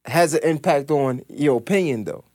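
A man's voice: a little high, normal speed, a little mellow. A man in his thirties hosting a podcast, asking questions in a laid-back, slangy and teasing way. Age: 30s. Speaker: podcast host. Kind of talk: casual interview chat.

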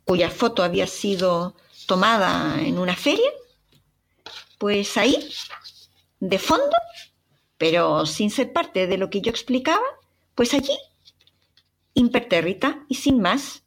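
A young Chilean woman with a Chilean accent and a breathy voice, dramatically telling a personal travel story as a podcast narrator. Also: medium pitch, unhurried, pauses often. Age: young adult. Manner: expressive, dramatic retelling with sighs and exclamations.

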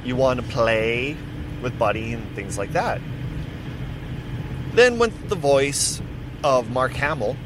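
A man's voice: mid-range voice, slow pace, long pauses. Rambling man with background hiss. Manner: rambling, self-correcting, chatty. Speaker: podcast host. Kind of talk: casual solo monologue. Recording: steady background noise.

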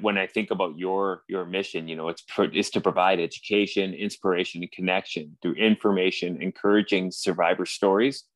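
Deep-voiced Canadian man, earnest and halting. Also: a bit bright, no room sound, low-quality recording. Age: 40s. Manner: earnest, halting with frequent restarts. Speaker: podcast host. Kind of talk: casual conversation.

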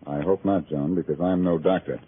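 A man's voice: low-pitched, steady tone, fairly quick, slightly rough. A man acting a brash, determined amateur sleuth in 1940s radio style, wisecracking and pressing with his questions. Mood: firm, hushed. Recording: noisy, narrow-band old radio recording.

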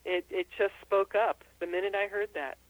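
A woman in her sixties from Austria, a guest on a radio interview, explaining at length in a thoughtful way with many ums and soft laughs. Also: mid-range voice, steady tone, normal speed, no echo, poor audio. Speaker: guest, druid and herbalist. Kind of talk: interview over the phone.

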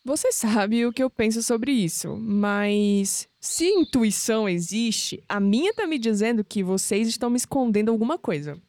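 An independent young Brazilian woman in an audio series, played by a woman, wry and questioning. Her voice sits fairly high and sounds close and dry, with a skeptical edge. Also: animated, normal speed, few pauses, darkish tone, dry recording. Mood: suspicious. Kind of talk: audio drama acting.

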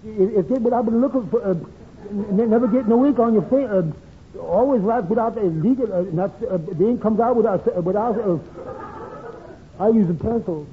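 An actor playing a meek, easily rattled barber who stammers heavily and abandons sentences, in old radio comedy style. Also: male voice, high voice, normal speed, crowd noise, natural voice. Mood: flustered. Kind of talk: 1940s radio comedy acting. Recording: scratchy, tinny old-time radio recording.

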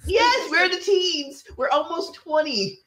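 Southern woman co-host, animated storyteller, casual podcast chat. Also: very high voice, slow pace, a crowd in the background. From American South. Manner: animated storyteller, frequent affirmations.

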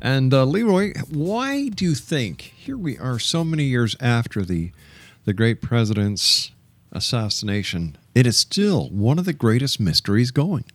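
A man speaks as a radio talk show host at a medium pitch. The sound is dry and close, as in a studio, and his delivery moves between interviewing and announcing. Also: big pitch swings, unhurried, no echo. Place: broadcast studio.

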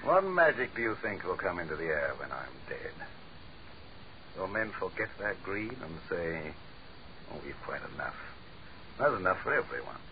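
Scornful fallen dictator, very deep voice, cold and measured, muffled old radio sound. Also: male voice, very animated, slight background noise. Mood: mocking. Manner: sneering, commanding, measured. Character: doomed male dictator, contemptuous and cold. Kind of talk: 1940s radio drama acting. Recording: muffled 1940s radio broadcast.

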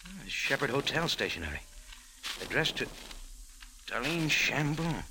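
A man's voice: medium pitch, sing-song, unhurried, gravelly, noisy background. An American man acting a tough, wry bar owner turned amateur sleuth in clipped 1940s radio drama style. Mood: brisk, probing. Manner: wry, clipped, hard-boiled. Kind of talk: 1940s radio drama acting, hard-boiled first-person narration. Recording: old 1940s radio recording with music.

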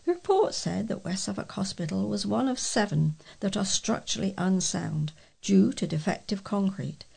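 A woman's voice: mid-range voice, vocal fry, darkish tone, breathy edge. A woman reading local news aloud, occasionally stumbling over a word as a volunteer newsreader.

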